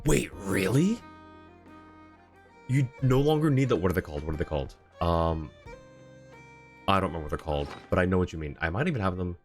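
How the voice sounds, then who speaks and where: A man's voice: low-pitched, lively intonation, a little fast, long pauses. A man livestreaming a game, talking casually and conversationally as he reads out and answers chat comments. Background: faint game music.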